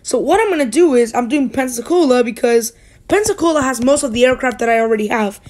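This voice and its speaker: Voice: high voice, expressive, fast talker. A school-age youngster from the United States hosting a hobby channel, giving a casual, rambling voice-over that often corrects itself.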